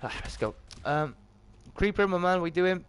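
A British man with a slightly croaky, just-woken voice, hosting a casual livestream and greeting viewers chummily. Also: high-pitched, lively intonation, moderate pace. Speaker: live streamer and host.

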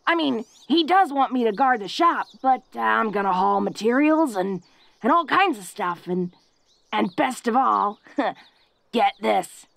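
A woman's voice: fairly high pitch, lively intonation, unhurried, vocal fry, a bit bright, dry recording, full character voice. A woman playing a tough but secretly awkward, tender young orc woman in an intimate romantic audio roleplay, with a casual, folksy, hesitant way of talking. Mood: excited, bashful. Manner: casual, folksy, hesitant with frequent stammers and "huh?" tags.

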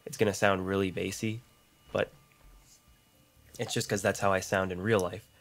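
Brief: young male gaming YouTuber, low deep voice, slightly rough throat, rambling self-deprecating chatter, condenser mic at home. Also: level tone, normal speed, fairly bright tone. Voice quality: deep voice, mouth clicks. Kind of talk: casual gameplay commentary. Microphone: large condenser studio mic.

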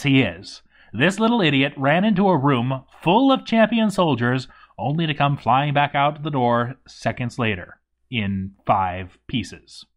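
Male narrator, mid-pitched voice, dramatic storytelling, emphatic pauses, game playthrough read-aloud.

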